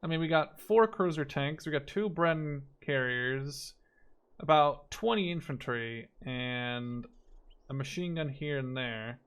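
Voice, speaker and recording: A man's voice: medium pitch, takes it slowly, fairly bright tone. A man in his twenties giving casual strategy game commentary, thinking aloud in an informal way. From Netherlands. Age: 20s. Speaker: gaming video creator. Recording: clear gunfire and artillery noise behind. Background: game battle sounds, gunfire, artillery.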